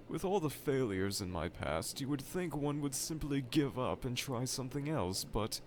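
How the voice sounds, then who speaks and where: A man's voice: medium pitch, slight background noise. A man voicing a vain, needy middle-aged evil scientist with pompous, formal, melodramatic diction in a fan audio roleplay. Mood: dejected, self-pitying. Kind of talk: fan audio roleplay monologue addressed to listener. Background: laboratory ambience.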